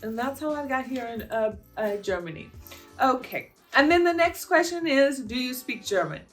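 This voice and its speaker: A woman in her fifties from the United States, chatting casually through a Q&A with her viewers, rambling a little and filling pauses with "um" and "uh". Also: high voice, lively intonation, a bit bright, faint music behind. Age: 50s. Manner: chatty and meandering, with frequent fillers. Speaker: vlogger answering viewer questions. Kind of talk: casual Q&A monologue.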